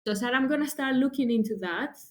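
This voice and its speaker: A woman from Greece in her thirties, a guest in an interview talking about her work, animated and chatty, with fillers, restarts and frequent laughs. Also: high voice, fast. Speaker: guest, translator and travel writer. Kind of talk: conversational interview.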